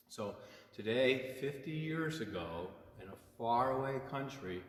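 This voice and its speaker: A man in his sixties, a priest, talking informally and simply to children at storytime, gently explaining and checking in with questions. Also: lowish voice. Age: 60s. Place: inside a church. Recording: echoey, long reverb of a church.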